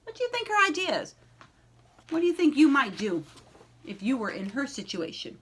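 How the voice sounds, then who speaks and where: Animated woman reading a picture book aloud. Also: sits high. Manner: animated, pausing to ask young listeners questions. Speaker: librarian reading aloud to children. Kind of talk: expressive children's picture-book read-aloud.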